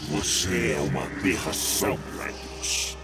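A spectral jailer from a dark fantasy audio drama, with a Brazilian accent. He is cold and sadistic, every taunt drawn out in a deep register. Loud music swells under the voice. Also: measured pace. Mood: mocking.

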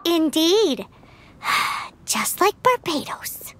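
A woman voicing a tiny, outspoken floating fairy girl in an anime game, with a squeaky childlike voice and blunt manner. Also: big pitch swings, drawn-out words, pushed and bright, exaggerated voice. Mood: matter-of-fact. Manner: blunt, exclaiming, refers to self in third person. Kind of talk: anime video game voice acting.

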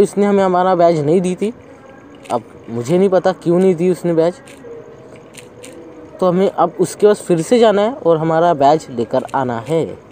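Young male host, relaxed let's-play chatter, light faint background noise. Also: fairly high pitch. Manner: chatty, thinks aloud while playing. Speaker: gaming channel host. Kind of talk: casual let's-play commentary.

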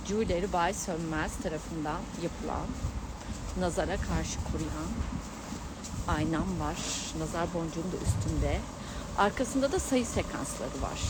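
A middle-aged woman chatting casually and exclaiming to her followers in a giggly travel vlog style, filming herself outdoors on a handheld gimbal. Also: on the low side. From Turkey. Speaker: vlogger and astrologer. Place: outdoors at a mountain viewpoint. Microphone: handheld camera on a gimbal. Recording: loud outdoor urban noise with vehicles.

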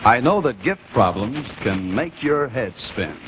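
A middle-aged American man giving a polished, deliberate scripted sales pitch as a 1950s television announcer. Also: very animated. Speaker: commercial announcer. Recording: muffled 1950s television broadcast with faint noise.

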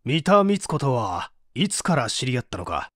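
An actor playing an authoritative, probing prosecutor who questions formally in a dramatic audio mystery. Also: male voice, medium pitch, sing-song, moderate pace, no echo, clean studio sound. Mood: probing, firm. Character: male prosecutor, probing, authoritative, composed interrogator. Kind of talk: dramatic audio reading of an interrogation.

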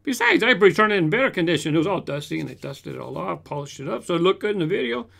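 A man's voice: high-pitched, fast, vocal fry. A man in his fifties from Southern California, chatting casually and animatedly as a host answering viewer comments in a home room, speaking into a desk microphone. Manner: animated, gestures with hands, shows toy cars. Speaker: toy car collector channel host. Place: home room with shelves of toy cars. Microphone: large desk condenser mic in front.

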